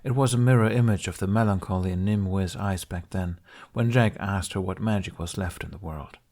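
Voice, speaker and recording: Calm, low-voiced male storyteller. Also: normal speed. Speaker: podfic reader. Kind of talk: storybook narration.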